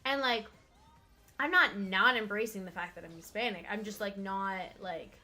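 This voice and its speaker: A woman's voice: mid-range voice, normal speed, bright, forceful tone, faint music behind. A young woman from Miami chatting casually as a co-host, leaning on "like" as she talks. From Miami, United States. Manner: chatty, heavy use of "like". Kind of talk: casual chat.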